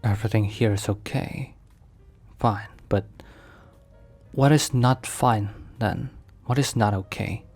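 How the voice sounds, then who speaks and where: A man playing an overprotective mafia boss boyfriend in an ASMR roleplay, speaking formally with measured, halting, slightly stilted phrasing. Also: on the low side, fairly even intonation, darkish tone, faint music behind. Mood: concerned, gentle.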